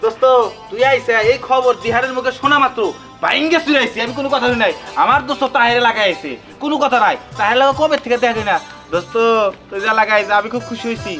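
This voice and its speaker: An actor playing a warm-hearted, eager village friend in a drama, with a rural Bangladeshi accent. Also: male voice, very high-pitched, fast talker, soft background music, exaggerated voice. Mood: joyful, eager. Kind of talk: Bangla short-film drama acting.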